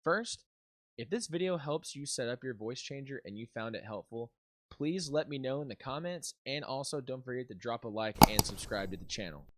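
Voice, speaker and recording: A man's voice: mid-range voice, expressive, normal speed, a little hiss or hum. A man giving an instructional tech tutorial, explaining things step by step. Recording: music behind the voice. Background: background music.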